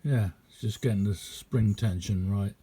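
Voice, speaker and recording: A man giving a casual, rambling hands-on demonstration as a radio hobbyist, chatty and hesitant in an unhurried way. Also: on the low side, dark tone, slightly rough.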